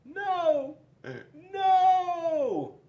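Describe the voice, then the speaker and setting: A shrill little storybook fruit, boastful and quick to take offense. The pitch leaps very high and the room echoes around it. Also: male voice, animated, dark tone, lo-fi sound, full character voice. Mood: pleading. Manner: shrill, protesting. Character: indignant, boastful little male apricot fruit. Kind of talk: storybook read-aloud.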